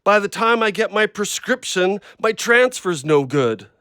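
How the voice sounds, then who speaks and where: Blunt, high-voiced ex-logger. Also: male voice, lively intonation, unhurried, sharp tone, smooth, dry recording, studio quality, heavily acted. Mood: agitated, pleading. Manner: blunt, rambling, plain-spoken. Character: agitated, hard-up injured ex-logger devoted to his dog. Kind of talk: audio play acting.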